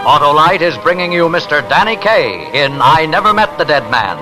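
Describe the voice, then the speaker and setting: An American male announcer presents an old radio thriller in dramatic style. His slightly high voice plays over clear music. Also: animated, moderate pace. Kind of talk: dramatic vintage radio introduction.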